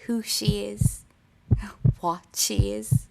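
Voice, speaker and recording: Haunted, blood-hungry young Irish woman, horror audio drama, very drawn-out delivery, some background noise. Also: mid-range voice, fairly even intonation, exaggerated voice. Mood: brooding, bitter. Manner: slow, halting, breathy whispers breaking into intensity. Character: unhinged, frightened, blood-hungry young woman confiding in her diary.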